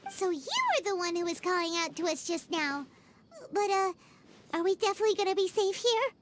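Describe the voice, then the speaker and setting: Extremely squeaky, excitable tiny fairy companion. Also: female voice, brisk, a little hiss or hum. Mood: curious, hesitant. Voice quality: squeaky, piping, childlike. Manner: exclamatory, speaks of self in third person. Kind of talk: video game voice acting.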